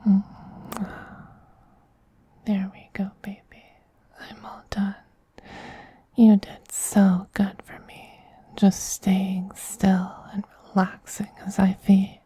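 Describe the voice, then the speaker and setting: A man voicing a tender, dominant, effeminate vampire queen who soothes a beloved pet in a breathy, soft-spoken ASMR roleplay whisper. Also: sits high, completely flat delivery, heavily acted. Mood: tender, soothing. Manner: slow, soothing, doting.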